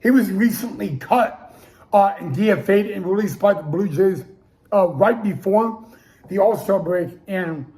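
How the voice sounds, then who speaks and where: Man, high voice, young adult, sports host, hoarse, stammering restarts. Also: animated, unhurried, dark tone. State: losing his voice. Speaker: podcast host. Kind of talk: casual sports talk show.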